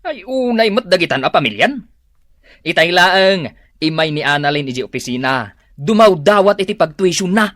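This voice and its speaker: A man's voice: high-pitched, animated, normal speed, a bit bright, no room sound, studio quality. An actor playing a boastful, defensive hard-drinking working man with an Ilocano Filipino accent in a comedy radio drama.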